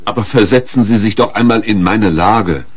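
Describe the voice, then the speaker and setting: An actor playing an earnest, conscientious doctor in a radio crime drama, formal and pleading, with a husky voice. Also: male voice, on the low side, normal speed. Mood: soothing, pleading. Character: earnest, conscientious male doctor shocked by a confession.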